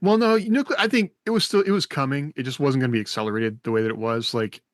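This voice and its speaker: A man's voice: mid-range voice, quick pace, no room sound. American man thinking aloud, close dry sound. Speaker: podcast co-host. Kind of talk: casual panel discussion.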